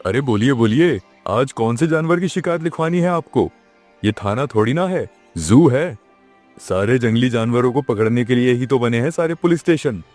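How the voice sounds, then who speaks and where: A man's voice: medium pitch, expressive, normal speed, a little mellow, faint music behind, lightly acted. A man playing a weary, exasperated village police station chief who grumbles sarcastically in a children's cartoon. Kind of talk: children's cartoon moral story acting.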